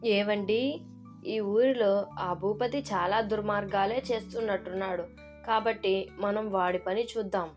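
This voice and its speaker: A woman's voice: mid-range voice, fairly even intonation, measured pace, soft background music, lightly acted. A woman voicing a well-meaning, determined female ghost wife in a moral story.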